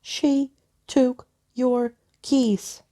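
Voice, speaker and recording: A woman's voice: high voice, level tone, drawn-out words, warm tone, pitched above the performer's own voice. A woman voicing a bossy, mischievous young girl in a storybook, snappy and scornful with a short temper.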